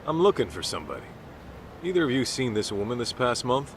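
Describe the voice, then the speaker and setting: An actor playing an easygoing, gruff middle-aged private detective with streetwise phrasing in an English-dubbed video game. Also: male voice, medium pitch, sing-song, fairly quick, noisy background, lightly acted. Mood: casual, probing.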